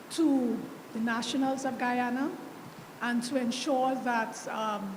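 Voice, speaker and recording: Caribbean woman speaking from the floor. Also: high voice. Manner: polite, measured, thoughtful. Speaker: audience member asking a question. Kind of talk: question from the floor. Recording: echoey hall with audible background noise.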